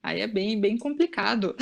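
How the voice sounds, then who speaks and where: A woman's voice: a little high, vocal fry. A Brazilian woman explaining things as an expert guest in a casual podcast conversation, often adding short tag questions.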